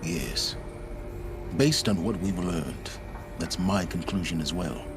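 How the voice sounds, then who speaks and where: Deep-voiced, calculating older scholar. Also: male voice. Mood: measured, intent. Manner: measured, deliberate, self-assured. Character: learned, calculating older man confessing dark secrets. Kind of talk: dramatic video game acting. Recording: music behind the voice.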